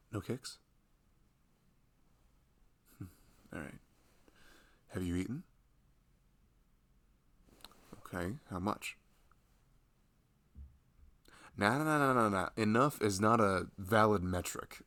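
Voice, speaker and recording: A big, loving husband played by a man speaks low and gravelly in an intimate ASMR roleplay. He is tender and playful, gently fussing over his pregnant wife. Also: animated, measured pace, pauses often. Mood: playful, concerned.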